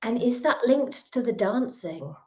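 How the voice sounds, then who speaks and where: Slow-spoken woman podcast host. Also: a little high, animated. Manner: warm, affirming, unhurried questions. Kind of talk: interviewing a guest.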